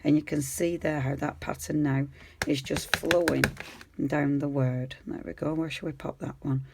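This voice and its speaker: Croaky woman demonstrating a craft. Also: low voice, darkish tone, no echo. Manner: chatty, easygoing, talks while working. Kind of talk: casual hands-on tutorial.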